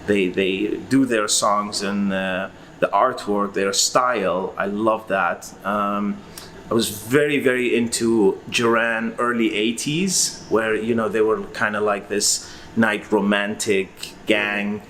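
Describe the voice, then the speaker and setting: A man's voice: lowish voice, steady tone, takes it slowly, fairly bright tone, a little hiss or hum. A man in his forties from Iraq, a record-collector guest who talks through his story in a rambling, hesitant way with lots of fillers. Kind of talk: casual interview answers.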